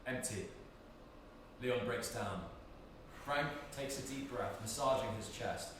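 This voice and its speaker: A man's voice: medium pitch, takes it slowly. A man giving scripted narration, reading out the stage directions of a play. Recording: echoey room, distant mic, faint hiss.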